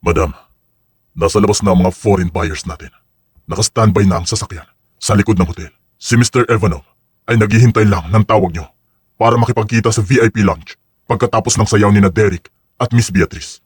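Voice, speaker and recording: A man playing a deferential, discreet henchman with a husky voice, reporting in a businesslike way in an audio drama. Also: lowish voice, a little fast, no room sound, studio quality. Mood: obedient, businesslike. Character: deferential male henchman reporting to his crime boss. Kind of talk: dramatized audio story acting.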